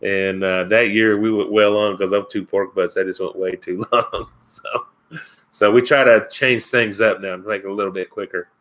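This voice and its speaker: A laid-back man with a Kentucky accent, talking to his viewers on a live stream. His voice sits a little low, and he rambles along, chuckling and answering chat comments as they come in. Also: steady tone, moderate pace, a bit bright. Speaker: outdoors channel host.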